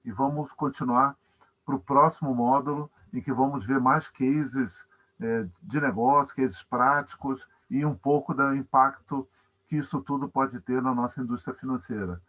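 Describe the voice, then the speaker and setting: A man's voice: mid-range voice, steady tone, a little slow, a bit bright. A man with a Brazilian accent hosting a webinar, speaking politely and formally as he gives thanks. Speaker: webinar host. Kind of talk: courteous closing remarks. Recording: dull, lo-fi online call audio.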